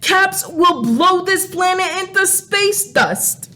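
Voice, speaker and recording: Woman acting, alien renegade, very high voice, mocking, scolding, radio comedy. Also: lively intonation, takes it slowly, very noisy, full character voice. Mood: smug. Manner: snide, scolding. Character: sassy, mocking alien crayon renegade visiting Earth.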